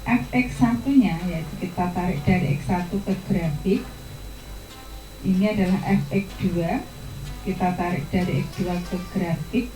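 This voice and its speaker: A woman teaching a math lesson, walking students through each idea step by step. Her voice is mellow and relaxed, recorded in a roomy, echoey space with soft guitar music playing faintly behind her. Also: medium pitch. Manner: patient, step-by-step explanation to students.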